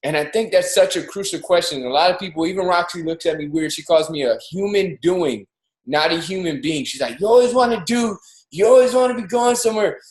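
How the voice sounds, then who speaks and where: A man in his thirties giving an energetic, preachy motivational talk as co-host of a livestreamed show, pushing his voice up on key points. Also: high-pitched, fairly quick, roomy sound, rough recording. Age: 30s. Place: home in Miami, livestreamed call. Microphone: video call.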